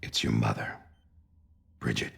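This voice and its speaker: A man playing a gruff, weary middle-aged government official in a video game, speaking in slow, muted, terse phrases with a gravelly voice. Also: a bit bright, a little hiss or hum, natural voice. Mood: weary, subdued. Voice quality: very deep, gravelly. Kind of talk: cinematic video game acting.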